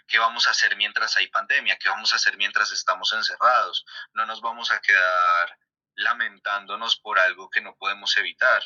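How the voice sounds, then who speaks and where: A man with a low but very bright, forceful voice gives a halting, conversational interview answer. He repeats words as he goes, on slightly lo-fi audio. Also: steady tone. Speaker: theatre performer being interviewed.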